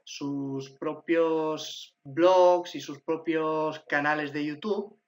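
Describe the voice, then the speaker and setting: A man's voice: a little high, steady tone, very slow. A man asking interview questions in a casual way, hesitant and searching for his words. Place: remote call.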